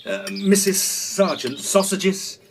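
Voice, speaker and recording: A man's voice: high voice, takes it slowly, creaky, background noise, exaggerated voice. A man playing a courteous, slightly flustered Edwardian house agent in an audio drama, speaking formally in an English accent. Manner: formal, fussily polite, theatrical. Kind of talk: audio drama acting with first-person narration.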